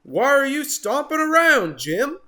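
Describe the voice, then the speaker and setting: Woman as a high-pitched cheery stork. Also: sing-song, measured pace, sharp tone, full character voice. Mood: cheerful, encouraging. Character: cheery, encouraging marabou stork.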